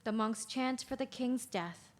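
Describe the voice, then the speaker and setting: High-voiced woman narrating a play. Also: measured pace. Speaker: narrator reading stage directions. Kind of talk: scripted narration at a table read.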